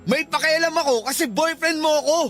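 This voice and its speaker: A man's voice: very high voice, brisk, a bit bright, soft background music. A man playing a hurt, suspicious boyfriend in a Filipino radio drama, insistent and earnest, with a Filipino accent.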